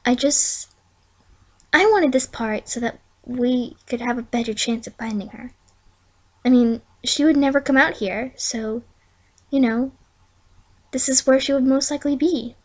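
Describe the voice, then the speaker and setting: A woman's voice: sits high, unhurried, long pauses. A woman voicing a sensible but easily exasperated young female pony in a fan story, speaking plainly and earnestly.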